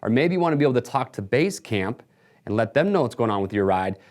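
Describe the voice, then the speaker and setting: Male product presenter, medium pitch, casual and explanatory, walking viewers through gear for a retailer video. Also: sing-song, quick pace, darkish tone. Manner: casual, explanatory sales patter.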